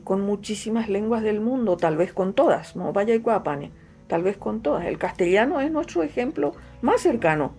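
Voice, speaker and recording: A middle-aged Paraguayan woman writer, a native Guaraní speaker, answering interview questions in an unhurried, reflective way with frequent fillers and repetitions. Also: mid-range voice, vocal fry, darkish tone. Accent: Paraguayan Spanish.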